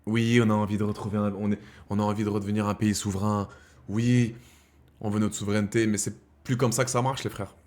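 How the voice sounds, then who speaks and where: A man's voice: lowish voice, flat delivery, quick pace. A man in his thirties chatting casually to his live-stream viewers, slangy and rambling, calling them his brothers. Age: 30s. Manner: swings from heated rants to quiet musing. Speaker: streamer host.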